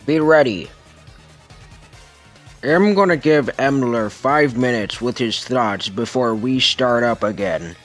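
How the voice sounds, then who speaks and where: A man voicing a brutal villain boss in an amateur comic dub, threatening, pompous and deliberate. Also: mid-range voice, a bit bright, faint music behind. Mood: commanding. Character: menacing brutal villain boss interrogating a captive.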